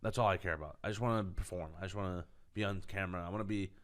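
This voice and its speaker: A man's voice: sits low, rapid-fire. A man from Canada, a comedian guest, talking candidly and self-assuredly in a studio interview. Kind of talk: casual interview talk.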